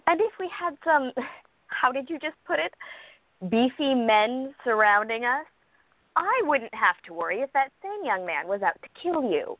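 A woman's voice: high-pitched, sing-song, moderate pace, no room sound. A woman playing a protective, skeptical bodyguard, a proper military major, in a radio play. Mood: worried. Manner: skeptical, dryly deferential. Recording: thin, dry phone-like sound.

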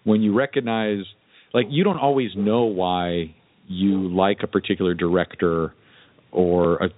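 A man hosting a casual podcast chat, halting as he searches for words. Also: sits low. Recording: dry, narrow phone-like sound.

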